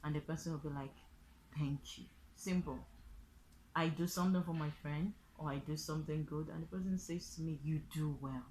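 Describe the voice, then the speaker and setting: A woman with a low voice and a Nigerian accent teaches in an informal tutorial style. She explains things step by step, often repeating a phrase as an example. There is faint background noise on the recording. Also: steady tone, normal speed, darkish tone, poor audio. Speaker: online language teacher and vlogger.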